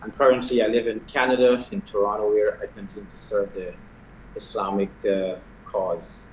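Man, middle-aged, from guyana, lower voice, roomy echoey call audio. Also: little pitch movement, a little hiss or hum. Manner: measured, soft-spoken with hesitations. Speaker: prison chaplain. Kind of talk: interview answers. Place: video call from an indoor hall. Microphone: video call.

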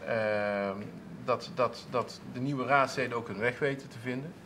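A man's voice: medium pitch, measured pace, background noise. A man in his thirties, a council clerk, answering interview questions in a TV studio with many restarts and fillers. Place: TV studio with seated audience, at a table.

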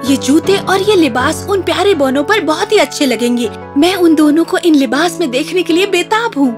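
A woman's voice: high-pitched, animated, over music. A woman voicing a caring, grateful, sensible shoemaker's wife in a children's cartoon. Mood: caring, eager.

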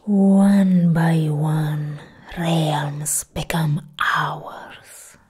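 A young woman playing a proud, menacing goddess of death in a soft-spoken ASMR roleplay, breathy and whispered, close to the mic. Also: deep voice, flat delivery, full character voice. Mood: bitter. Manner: slow, deliberate, menacing. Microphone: close ASMR microphone.

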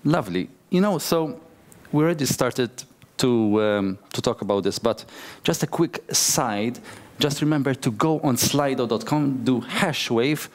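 A man's voice: medium pitch, a little slow, few pauses. A man moderating a conference panel in an upbeat way, with playful asides to the audience. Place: large conference hall.

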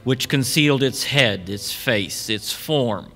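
A man as bright-voiced, solemn storyteller. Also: medium pitch, creaky, soft background music, exaggerated voice. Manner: measured, dramatic, literary. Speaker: narrator. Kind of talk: scripted radio drama narration.

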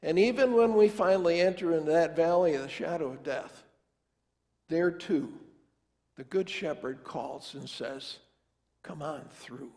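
Older pastor guy telling a folksy family story in a big echoey church, pretty high voice. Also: a little slow, a little mellow. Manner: folksy, conversational, acts out family dialogue. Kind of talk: storytelling sermon. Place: large church sanctuary, standing before the altar. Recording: long church reverb.

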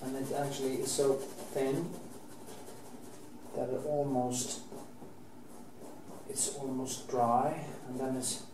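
A Norwegian man in his forties with a Norwegian accent, teaching oil painting in a loose, halting way as he works, stopping and restarting his sentences and swearing now and then. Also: mid-range voice, flat delivery, roomy sound, recorded from a distance, background noise. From Norway. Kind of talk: casual painting demonstration. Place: art studio, indoors.

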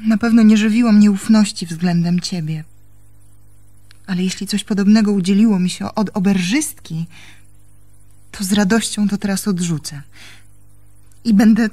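An actress playing a troubled young woman in a radio play, a devoted but reproachful lover speaking earnestly and haltingly. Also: medium pitch, darkish tone, natural voice. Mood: remorseful, pleading. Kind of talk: Polish radio play acting.

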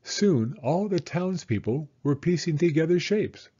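A man reading a children's storybook aloud as narrator, with expressive, deliberate phrasing and slightly dull sound. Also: a little high, husky.

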